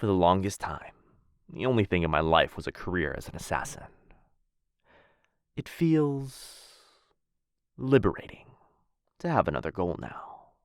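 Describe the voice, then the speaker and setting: A man plays a dangerous assassin in love, in an intimate audio roleplay. His voice is very low, with a widely swinging melody. He teases in courtly, old-fashioned phrases, recorded close and dry. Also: big pitch swings, a little slow, pauses often, dry recording, full character voice. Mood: tender, conflicted. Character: smooth, menacing yet lovestruck male assassin. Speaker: voice actor. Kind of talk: boyfriend ASMR roleplay.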